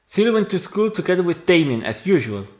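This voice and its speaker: A man's voice: sits high, sing-song, fairly quick, lo-fi sound. A man who is not a native English speaker, reading scripted narration for a game story playthrough. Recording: music behind the voice.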